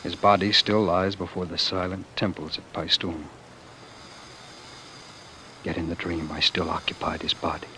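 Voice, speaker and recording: Weary doomed narrator, low-pitched, halting and theatrical; 1940s radio play with a noisy vintage sound. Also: male voice, flat delivery, pushed and bright. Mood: haunted, exhausted. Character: weary, haunted man narrating a dying world. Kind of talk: 1940s radio drama monologue. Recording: noisy old radio broadcast recording.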